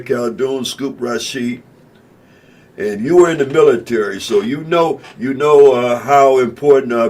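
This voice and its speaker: A man's voice: medium pitch, a little mellow. A man hosting an internet radio show, talking in a rambling, casual way with many fillers and repeated phrases. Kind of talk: casual talk-radio monologue.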